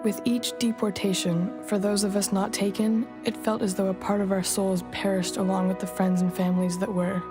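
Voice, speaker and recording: A woman narrates a memoir in the first person from a script. Her pitch is average and moves very little, staying even throughout. Music is clearly audible beneath her. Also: normal speed, few pauses.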